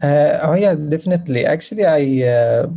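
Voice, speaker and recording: A man's voice: on the high side, animated, moderate pace, vocal fry, dark tone. A man from Palestine, around forty, answering as a film director on a festival panel, hesitant and full of restarts. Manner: hesitant, many fillers and restarts. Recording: dull, muffled sound.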